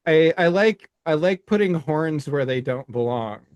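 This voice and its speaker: A middle-aged man co-hosting a casual podcast, speaking in halting phrases with many restarts and stammers. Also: on the high side, expressive, a little mellow, clean tone, no echo. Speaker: podcast co-host. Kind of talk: casual chat.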